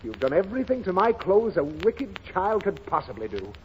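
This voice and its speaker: Casting: exasperated comedy dad, high swooping voice, scolding, muffled old radio sound. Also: male voice, big pitch swings, normal speed. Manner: groaning, scolding, flustered. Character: exasperated, long-suffering daddy of a naughty child. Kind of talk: 1930s radio comedy acting. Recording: muffled, faintly noisy 1930s radio recording.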